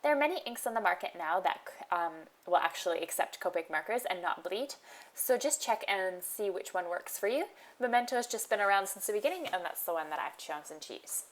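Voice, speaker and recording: A woman's voice-over for a crafting tutorial, nasal and stuffy from a lingering cold. She talks in a relaxed, chatty way, walking through each step with the odd um. Her pitch sits in an ordinary middle range. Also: fairly quick. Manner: chatty, explains each step, frequent ums. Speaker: card-making tutorial host.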